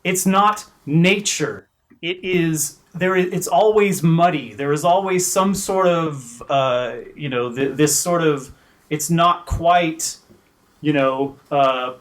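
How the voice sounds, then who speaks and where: A podcast host speaking in a slow, deliberate way, setting up each point before he explains it. He swears casually, and his voice sits a little high. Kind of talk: casual chat.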